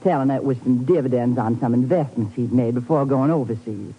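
A woman's voice: a bass voice, a little fast, slightly rough, heavily acted. A sassy, indignant Southern woman in an old radio drama. She drawls in a folksy Southern accent, sweet on the surface and accusing underneath. The recording is muffled, as from 1950s radio. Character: indignant, sassy Southern belle, protective of her sister.

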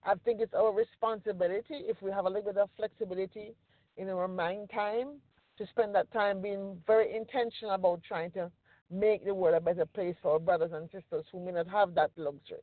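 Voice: mid-range voice, steady tone, fairly quick, dry recording. Caribbean podcast host, earnest and reflective, casual discussion, over a phone-quality line. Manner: earnest, reflective, with frequent "you know" and "okay?" checks. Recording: tinny phone-line sound, dry.

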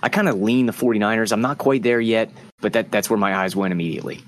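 Low-voiced man talking sports bets. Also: quick pace. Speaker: sports betting panelist. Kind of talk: casual chat.

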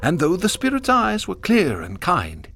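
A man narrating a radio drama with vivid, expressive storytelling. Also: sits high, unhurried, gravelly, husky. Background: music.

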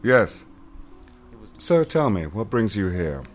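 An actor playing a suave rich male killer, smooth and flirtatious at a gala. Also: fairly low pitch, very animated, takes it slowly, faint music behind.

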